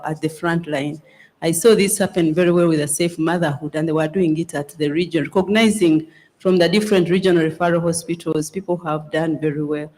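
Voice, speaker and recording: A woman's voice: low voice, darkish tone. A woman from a civil society group, giving an earnest formal comment at a conference plenary, often restarting words.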